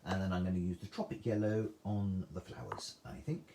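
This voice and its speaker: A man's voice: sits low, fairly even intonation, brisk, mellow, a little hiss or hum. A man with a soothing voice, chatting through a live craft tutorial and reading out viewer comments. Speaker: craft demonstrator.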